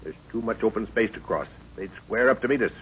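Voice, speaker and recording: An actor playing a middle-aged, commanding professor and protective father in an old radio serial, giving brisk, cautious orders. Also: lowish voice, animated, a bit bright. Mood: wary, decisive. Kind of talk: 1940s radio serial acting. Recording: dull, noisy old radio recording.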